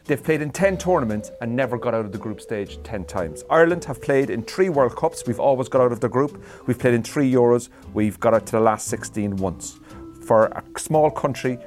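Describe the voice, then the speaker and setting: A man on a comedy panel show, making an emphatic, argumentative pitch full of figures. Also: expressive, moderate pace, darkish tone, faint music behind. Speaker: panel guest.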